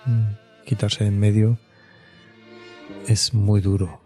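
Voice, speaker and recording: Male interview guest. Low, dark timbre, reflective expert who pauses and adds tag questions. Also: level tone, faint music behind. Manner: hesitant, reflective, frequent question tags. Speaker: mental health expert guest. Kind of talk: explanatory podcast interview.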